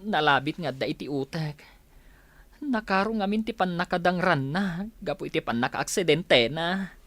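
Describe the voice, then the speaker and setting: A man's voice: fairly high pitch, lively intonation, normal speed, a bit bright, dry recording. A man playing a worried, cautious friend at a hospital bedside in a radio drama. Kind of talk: Filipino radio drama acting.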